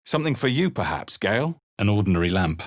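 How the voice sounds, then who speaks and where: A wary spellcasting adventurer from a fantasy game. His voice is low and husky, recorded very dry. He speaks tersely and dramatically, with a wry, suspicious edge. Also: very animated, quick pace, no room sound. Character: guarded adventurer hero, wry, suspicious, casts Latin spells. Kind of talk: video game character voice lines.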